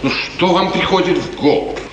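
An actor playing a haughty, scheming court chamberlain in a 1950s Soviet radio drama, theatrical and affected. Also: male voice, a little high, animated, a little fast, highs cut off, crowd noise, natural voice. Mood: indignant, condescending. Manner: theatrical, affected, mock-innocent. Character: smooth-tongued, scheming male court chamberlain, haughty marquis. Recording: echoey, distant, noisy 1950s radio recording.

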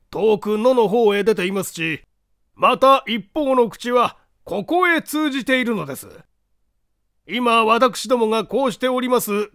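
A man's voice: extremely high pitch, fairly bright tone, no room sound, clean studio sound, pitched above the performer's own voice, heavily acted. A man voicing a courteous, anxious lord of a castle in a mystery audiobook, speaking formally and theatrically. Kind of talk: dramatized Japanese mystery audiobook reading.

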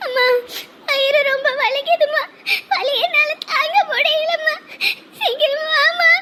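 A woman's voice: extremely high pitch, fairly even intonation, brisk, sharp tone. An actress playing a young pregnant daughter, alone and crying in pain as she pleads for her mother in a melodrama. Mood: pained, pleading. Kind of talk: melodramatic film or serial acting.

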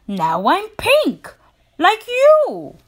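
A friendly hippo from a children's storybook, as a woman reader puts it on. It talks slowly and expressively. The pitch swings up into big exclamations. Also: huge pitch swings, heavily acted. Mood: delighted. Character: friendly hippo bored of being gray.

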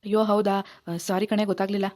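Harried young husband, acted by a woman: very quick delivery, plaintive, easily swayed, family drama. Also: medium pitch, little pitch movement, a little mellow, voice pushed down, natural voice. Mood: giving in. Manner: pleading, complaining, quick to give in. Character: put-upon young husband running a small tea stall. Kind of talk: amateur domestic drama acting.

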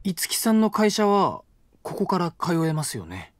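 A gentle, mature university student next door. He talks politely and calmly, with a lively lilt and a touch of teasing. The recording is a dry, close studio take. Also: sits high, normal speed, no echo, full character voice. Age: 20s. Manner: soft, polite and calm, lightly teasing. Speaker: voice actor. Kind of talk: voice drama acting. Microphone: close studio mic.

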